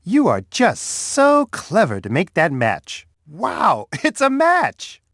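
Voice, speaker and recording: A man voicing a big, friendly male bear in a children's game, who speaks slowly and coaxingly to a young child. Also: high-pitched, wildly swooping pitch, fairly bright tone, no echo, clean studio sound, full character voice. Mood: encouraging. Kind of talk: educational game voice clips.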